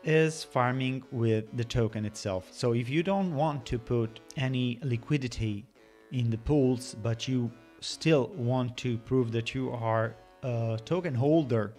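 A man narrates a screen-recorded tutorial in a measured, explanatory way. His voice sits at an ordinary middle pitch. Soft guitar music plays faintly underneath. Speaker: crypto channel host. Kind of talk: scripted voice-over explainer with screen walkthrough. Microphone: voice-over over screen recording.

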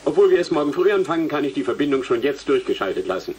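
Haughty, condescending professor, very lively pitch. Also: male voice, very fast, husky. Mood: condescending, smug. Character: arrogant, spiteful male university professor, scheming and condescending. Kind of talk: German radio play acting.

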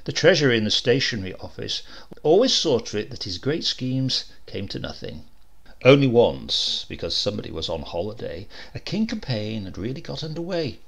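Gravelly man reading a comic story. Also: mid-range voice, moderate pace. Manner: dry, wry storytelling with lively voiced dialogue. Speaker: narrator.

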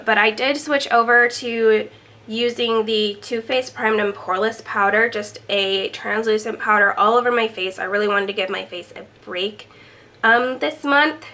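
A woman's voice: mid-range voice, steady tone, unhurried, sharp tone. A young woman talking to camera in a small room at home, chatty and upbeat with plenty of ums, sounding a bit congested from a cold. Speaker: beauty vlogger. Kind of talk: casual product update to camera.

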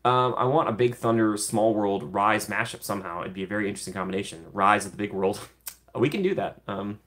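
A man's voice: fairly low pitch, a little fast, fairly bright tone. A young man in his twenties hosting a casual livestream from a small room, chatting and answering viewer comments. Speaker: livestream host.

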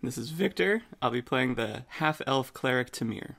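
Gravelly-voiced man at a tabletop game. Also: medium pitch, expressive, moderate pace, a bit bright. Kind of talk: in-character tabletop roleplay chat.

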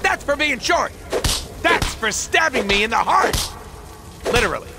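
A manic male video game antihero with a high voice that leaps all over the place. He is cocky and childish, mocking and theatrical in every line. Loud music plays behind him. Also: sing-song, moderate pace, vocal fry, full character voice. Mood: mock angry. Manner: hyperactive, mocking, theatrical delivery.

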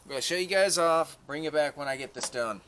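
A man's voice: a little high, fast. A man giving a casual, offhand hands-on tutorial to camera, recorded outdoors from a short distance. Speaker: DIY vlogger demonstrating an install. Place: outdoors beside a pickup truck. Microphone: camera set up a short distance away. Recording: noisy outdoor camera audio with clicks.

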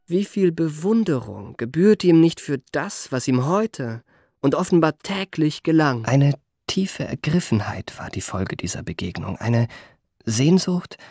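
An actor playing a refined, self-enamoured memoirist, narrating a radio play in an ornate, savouring manner. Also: male voice, on the high side, very animated, a little slow, a little mellow, slightly rough, no echo, clean studio sound, exaggerated voice. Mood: rapturous.

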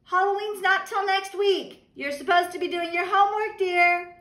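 Woman voicing a mother frog, storybook, patient, mildly teasing, bright, echoey room. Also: extremely high pitch, fairly even intonation, moderate pace, pitched above the performer's own voice. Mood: gently scolding. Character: gently scolding mother frog.

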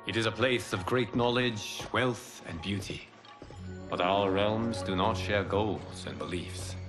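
An actor playing a solemn god of fire. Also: male voice, on the low side, over music, lightly acted. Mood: grave. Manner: measured, formal, commanding. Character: solemn male fire god, wise mentor. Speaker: protector and leader. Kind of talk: video game cutscene acting.

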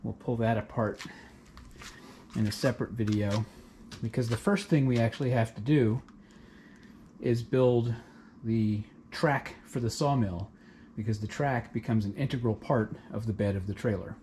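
A man casually narrating as he unboxes sawmill equipment in his garage, explaining each piece as he goes with relaxed fillers. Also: mid-range voice, normal speed, pauses often, slight background noise.

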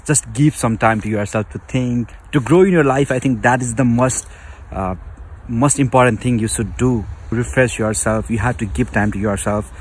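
A man's voice: medium pitch, moderate pace, breathy edge. A young man in his twenties with a Nepali accent, chatting casually in a vlog, full of fillers like "like" and "uh", filmed on a phone held at arm's length. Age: 20s. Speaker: vlogger. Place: college gym and campus halls, indoors. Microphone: phone camera at arm's length.

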